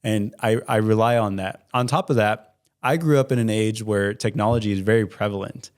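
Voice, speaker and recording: Earnest young man explaining calmly. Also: lowish voice, brisk, clear, resonant tone. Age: 20s. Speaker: certified public accountant, guest. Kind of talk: interview answers.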